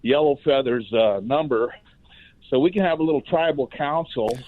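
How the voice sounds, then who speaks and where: A man's voice: medium pitch, normal speed, a little hiss or hum. A man calling in to a talk show, chatting in a casual, opinionated, rambling and colloquial way full of anecdotes. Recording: thin phone-like line.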